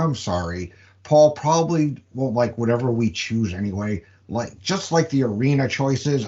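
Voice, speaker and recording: A man playing a worried, exasperated wrestling promoter with a halting manner in a comedic audio play. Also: medium pitch, darkish tone, dull audio, lightly acted.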